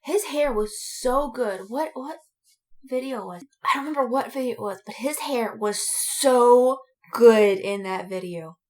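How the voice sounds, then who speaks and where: A woman with a fairly high voice chats casually as a podcast co-host. She gushes like a fan among friends and stretches words out for emphasis. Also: a little slow.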